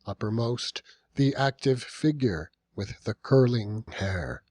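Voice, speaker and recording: A man narrating a classic novel as an audiobook, in a measured, dramatic storytelling manner with deliberate pauses. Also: mid-range voice, lively intonation, darkish tone, dry recording, clean studio sound. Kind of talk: scripted literary narration.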